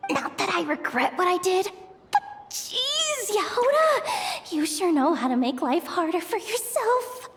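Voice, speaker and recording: A woman's voice: extremely high pitch, big pitch swings, measured pace, a bit bright, heavily acted. An actress playing a brash, scheming young female expo manager in a video game, with a slangy, dramatic way of talking. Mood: sheepish, exasperated. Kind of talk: animated video game voice acting. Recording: music under the voice.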